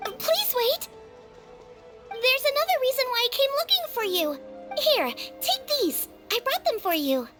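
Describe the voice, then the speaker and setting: A woman's voice: extremely high pitch, sing-song, measured pace, heavily acted. A woman playing a cheerful, considerate teenage girl cook in an anime-style video game, friendly and earnest. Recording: faint music behind the voice. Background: faint music.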